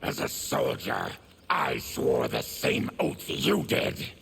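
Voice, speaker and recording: An actor playing a bitter, proud, vengeful mutant ex-soldier with a gravelly growl, in formal, menacing fighting-game dialogue. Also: male voice, lowish voice, wildly swooping pitch, takes it slowly, fairly bright tone, soft background music, heavily acted. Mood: bitter, grim.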